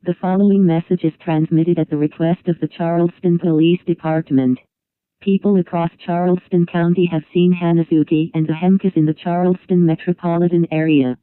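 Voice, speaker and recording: A man reading a formal scripted emergency broadcast announcement in an impersonal, measured manner over a tinny radio-like line. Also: fairly high pitch, flat delivery, clean tone, no room sound. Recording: tinny phone-like radio band.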